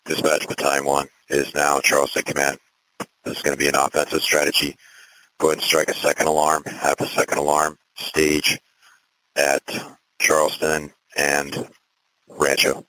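A man with an extremely deep, monotone voice runs a fire scene over two-way radio. He gives composed, clipped directives in procedural language, with very little room sound. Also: moderate pace, no echo, low-quality recording. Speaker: fire battalion chief acting as incident commander. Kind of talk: procedural emergency radio dispatch traffic.

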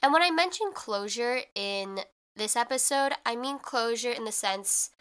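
A woman's voice: a little high, expressive, normal speed, a bit bright, smooth. A young woman hosting her podcast in casual chat, congested and a little nasal, talking in a chatty way with lots of "like". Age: young adult. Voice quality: more nasal than usual. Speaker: podcast host.

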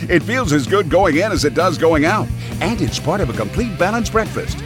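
An actor playing a melodramatic, bombastic announcer of an old-time radio serial. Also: male voice, fairly high pitch, sing-song, brisk, over music. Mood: showy. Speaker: narrator and announcer.

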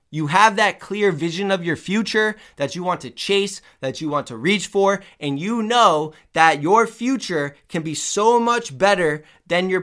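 Energetic, slightly nasal male fitness host. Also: fairly high pitch. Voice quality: a little nasal. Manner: energetic, emphatic, repeats key phrases. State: recovering from the flu. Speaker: podcast host. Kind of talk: motivational fitness podcast talk.

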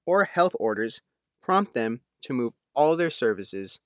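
A man's voice: mid-range voice, very animated, a little slow, no echo, studio quality. A man reading a written local news feature in a scripted narration style.

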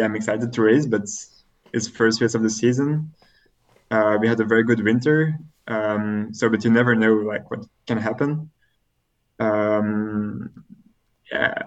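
A man's voice: on the low side, highs cut off. A young Belgian man, a native French speaker talking English with a French accent, answering interview questions hesitantly with many fillers. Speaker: triathlete guest.

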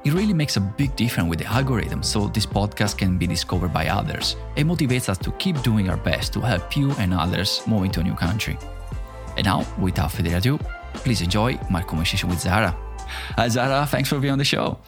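A man with a low voice that rises and falls a lot hosts a podcast. He reads a scripted welcome, then chats and asks curious questions with music playing behind him. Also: expressive, fairly quick. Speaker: podcast host. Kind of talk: scripted intro then casual interview.

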